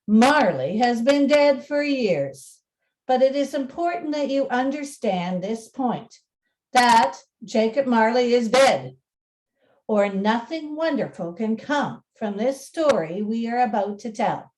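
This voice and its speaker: A woman's voice: on the high side, animated, slow, a little mellow, low-quality recording. A woman giving scripted storytelling narration for a radio play.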